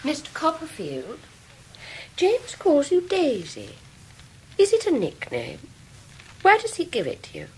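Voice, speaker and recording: An actress playing a sharp, insinuating English gentlewoman who probes with false humility, in a radio drama. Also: high-pitched, huge pitch swings, measured pace, pauses often, a little hiss or hum, full character voice, low-quality recording. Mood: sly, probing. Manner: probing, falsely humble questions. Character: sharp, sly, insinuating gentlewoman feigning innocence. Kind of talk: 1990s radio drama acting.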